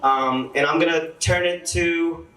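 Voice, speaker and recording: A man's voice: on the high side, fairly even intonation, measured pace, pushed and bright. Casual young male teacher speaking to a crowd, laughing often, echoey room. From Lowell, Massachusetts. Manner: chatty, laughing, storytelling. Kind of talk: casual speech to an audience. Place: event hall. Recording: echoey hall from far off.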